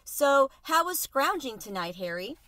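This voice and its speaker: Woman voicing a breezy, lively streetwise city mouse. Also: sits high, unhurried, no room sound, pitched above the performer's own voice, exaggerated voice. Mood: breezy, chummy. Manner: emphatic, casual, big-city patter.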